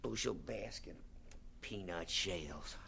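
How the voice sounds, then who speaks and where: A man's voice: sits low, slow, vocal fry, bright, forceful tone, husky, full character voice. An actor playing an earnest, worried young male assistant in a radio drama, plain-spoken and full of questions. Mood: amazed, puzzled.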